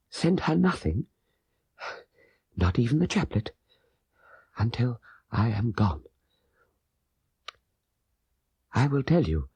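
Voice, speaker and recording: A man voicing a guilt-ridden, dying old father in an audiobook, halting and laboured, with a breathy voice that fades into whispers. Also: medium pitch, a little mellow, no echo, full character voice. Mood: grave confession. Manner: halting, laboured deathbed speech. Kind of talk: dramatic audiobook character voice.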